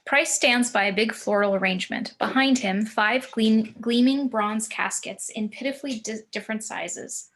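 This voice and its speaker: A woman's voice: fairly high pitch, normal speed, hardly any pauses, a bit bright. A woman narrating scene directions for a screenplay table read, occasionally stumbling over words.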